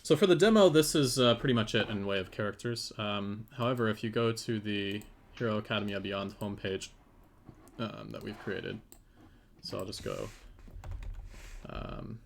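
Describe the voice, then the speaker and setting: Male game dev, low and gravelly, casual livestream demo, light noise with typing. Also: normal speed. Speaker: game developer and 3D modeler on a livestream team panel. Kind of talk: casual livestream chat and demonstration. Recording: faint background noise, keyboard typing.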